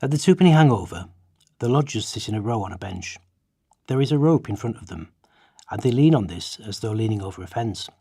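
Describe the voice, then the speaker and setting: A man playing a grave writer from past centuries, giving a measured, formal dramatised reading in a radio play. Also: fairly low pitch, lively intonation, dark tone, slightly rough, dry recording, clean studio sound. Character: grave male social writers of past centuries chronicling poverty.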